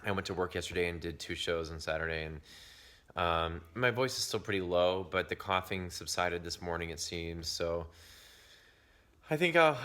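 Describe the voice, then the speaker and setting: A very low-pitched man speaks to his camera in a hoarse, worn voice, sick with a cold. He chats in a confiding way and sometimes drops to a whisper to save what is left of his voice. The talk is casual and personal. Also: bright, forceful tone. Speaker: vlogger. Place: outdoors in the woods.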